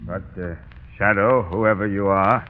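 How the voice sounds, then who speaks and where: Man doing soft-hearted old judge, lowish gruff voice, sharp tone, muffled old radio. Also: steady tone, unhurried, soft background music, natural voice. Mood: torn. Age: older. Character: kindly, sentimental old male judge. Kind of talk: 1930s radio drama acting.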